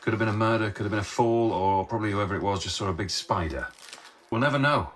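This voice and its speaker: An actor playing a sardonic sound engineer with a wry, sarcastic way of talking. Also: male voice, lowish voice, quick pace, a little hiss or hum, close to an everyday voice. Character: sardonic male sound engineer, dry wit. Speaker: sound researcher. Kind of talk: radio drama acting.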